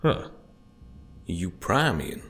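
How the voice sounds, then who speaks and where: An actor playing a greedy, threatening arms-dealing gang boss who taunts people, in an audio drama. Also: male voice, sits low, big pitch swings, drawn-out words, a bit bright, exaggerated voice. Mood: menacing.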